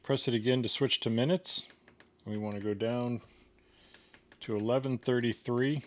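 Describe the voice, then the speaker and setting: A man's voice: medium pitch, fairly even intonation, normal speed. A man giving a casual hands-on product tutorial in a plain, step-by-step instructional way. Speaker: product reviewer demonstrating a gadget.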